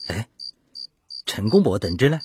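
An actor playing an earnest, concerned revolutionary delegate in a Chinese radio drama, serious and declamatory. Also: male voice, lowish voice, very animated, moderate pace, a little mellow, slight background noise. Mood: grave, alert.

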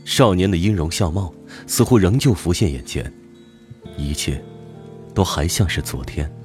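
A man with a very deep voice narrates an audio drama. His delivery is scripted and dramatic, with lively pitch movement and faint music behind him. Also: normal speed.

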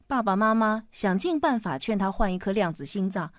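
A woman playing a patient mother who explains things gently in a radio drama. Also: mid-range voice, lively intonation, fairly quick, no room sound, studio quality, lightly acted. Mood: patient, explaining.

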